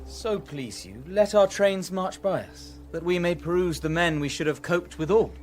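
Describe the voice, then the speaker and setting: A cold proud young prince leading an army, highish voice, declaiming, music under it. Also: male voice, lively intonation, normal speed. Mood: smoothly assuring. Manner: declamatory, authoritative. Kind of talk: classical radio drama acting.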